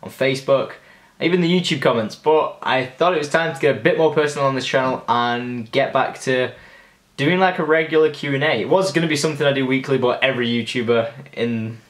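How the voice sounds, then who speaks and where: A man's voice: medium pitch, a little fast. A nineteen-year-old man chatting casually and upbeat through a Q and A vlog, rambling with lots of fillers. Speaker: YouTuber. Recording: roomy echo.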